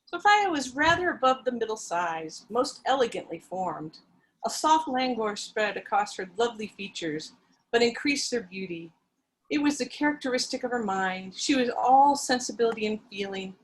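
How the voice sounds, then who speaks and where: A woman's voice: fairly high pitch, expressive, normal speed, slight background noise, natural voice, poor audio. A woman reading the part of an overly sensitive young heroine who narrates her past in an earnest, melodramatic manner. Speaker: reader in a dramatic reading. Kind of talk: dramatic reading over video call.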